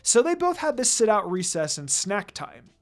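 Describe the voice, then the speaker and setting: A narrator reading a story aloud in an expressive, dramatic audiobook style. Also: medium pitch, normal speed. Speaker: fan fiction reader, narrator. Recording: music behind the voice. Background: background music.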